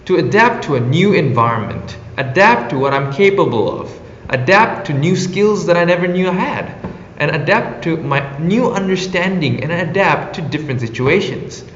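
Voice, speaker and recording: A man's voice: on the high side, lively intonation, normal speed, fairly bright tone. A young man from Indonesia with an Indonesian accent, earnestly reading a prepared personal reflection on his exchange internship. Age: young adult. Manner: earnest, reads from a prepared script. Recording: roomy, echoey, slightly dull sound.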